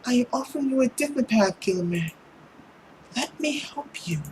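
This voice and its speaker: This is a man performing an earnest young visual novel heroine in a voice pitched well up. The delivery is emotional and melodramatic, with lively swings of pitch. There is faint noise behind it. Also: moderate pace, natural voice, poor audio. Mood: pleading. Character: earnest, indignant young woman confronting a vampire.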